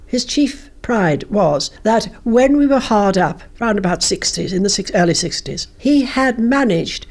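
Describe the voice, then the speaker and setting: Older woman, former politician, measured anecdotal delivery, interview reminiscence. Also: medium pitch, animated, a little mellow.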